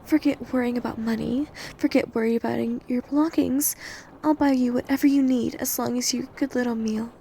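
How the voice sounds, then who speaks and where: Over faint hiss and noise comes an obsessive, hungry vampire woman, played by a woman, in an intimate ASMR roleplay. Her voice is soft-toned and mellow with heavy fry, breathy and prone to whispering, while staying domineering and possessive. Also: a little high, fairly even intonation, a little fast. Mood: possessive, coaxing. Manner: breathy intimate delivery, often dropping to whispers. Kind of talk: ASMR roleplay audio drama.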